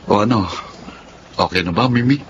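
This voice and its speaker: A man playing a smooth, generous friend who flatters the ladies, in a Filipino radio drama, with a Filipino accent. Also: on the low side, moderate pace, dull audio, a little hiss or hum, close to an everyday voice. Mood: charming, coaxing. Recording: music playing behind the voice.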